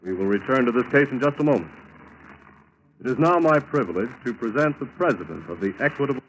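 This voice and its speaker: A man's voice: medium pitch, animated, fast talker. An American man announcing a programme in a formal, scripted 1940s radio style. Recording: noisy 1940s radio broadcast recording.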